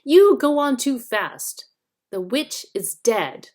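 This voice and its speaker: A woman voicing a learned male doctor in a storybook, sharp, urgent and protesting. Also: a little high, very animated, slow pace, pitched above the performer's own voice, exaggerated voice. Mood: alarmed.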